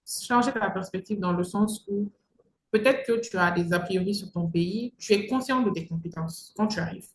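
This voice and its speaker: A woman's voice: medium pitch, normal speed. A young woman gives conversational advice as an interview guest, emphatically repeating phrases for stress. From Mali. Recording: roomy echo with faint background noise.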